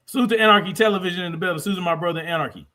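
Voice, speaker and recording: A man's voice: high voice, racing, sharp tone. A man hosting a livestream in a combative, blunt, profane way, repeating phrases for emphasis as he reacts to clips and chat. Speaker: livestream host.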